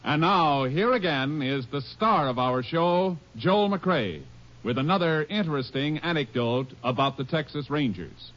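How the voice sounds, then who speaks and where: A man's voice: on the high side, lively intonation, measured pace, fairly bright tone. A man announcing an old radio show in a formal manner, reading notices and credits. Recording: lo-fi old radio broadcast with music.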